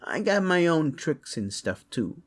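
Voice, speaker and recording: A man's voice: a little high, big pitch swings, measured pace. A man voicing a hot-tempered, vengeful father and roguish paladin, brash and blunt, in a fantasy audio roleplay. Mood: cocky. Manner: brash, blunt, foul-mouthed.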